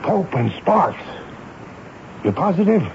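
A man's voice: high-pitched, very animated, slow pace, heavily acted. An American man acting in a 1970s radio drama as an earnest, decisive army major with an authoritative, clipped way of questioning. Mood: alarmed, resolute. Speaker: actor narrating and playing the lead. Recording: noisy, muffled radio broadcast recording.

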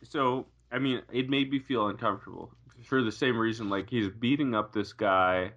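A man with a somewhat low voice talking on a podcast, hesitant and hedging, restarting often. His tone is bright. The audio is dull and lo-fi. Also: moderate pace. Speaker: podcast co-host. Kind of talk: casual chat about a TV show.

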